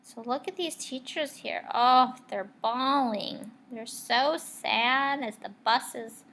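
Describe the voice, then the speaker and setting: A woman with a slightly high voice reads a children's story as a teacher would. She takes her time, speaks expressively, and often turns to her young listeners with questions and comments. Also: slow pace, a bit bright. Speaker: teacher reading a picture book aloud. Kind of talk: read-aloud storytelling with asides to children.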